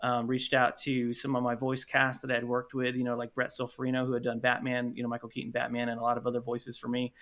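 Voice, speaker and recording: A man's voice: medium pitch, completely flat delivery, quick pace, hardly any pauses, fairly bright tone. A man talking casually and enthusiastically as a podcast guest, telling stories in an informal way. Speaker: podcast guest, audio drama creator. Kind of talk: casual interview chat.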